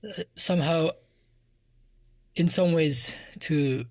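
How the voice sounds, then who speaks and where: A soft-voiced man from Afghanistan with an Afghan accent, giving reflective answers as an interview guest. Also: a little high, takes it slowly, no room sound. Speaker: interview guest, musician and music teacher.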